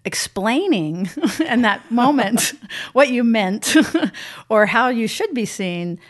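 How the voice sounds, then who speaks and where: A woman co-hosting a podcast, explaining ideas in a relaxed way and often laughing between points. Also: fairly high pitch, takes it slowly. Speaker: podcast co-host. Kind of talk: conversational podcast discussion.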